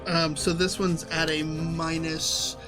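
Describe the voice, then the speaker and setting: A man's voice: fairly high pitch, little pitch movement, slow, over music. A man talking casually as a player in a tabletop role-playing game.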